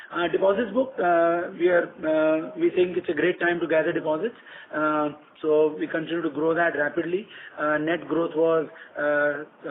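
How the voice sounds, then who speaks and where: A man with an Indian accent presenting company results on an earnings call, businesslike and halting, with frequent ums and repeated words. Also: fairly high pitch. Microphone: conference call phone. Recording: tinny phone conference line with noise.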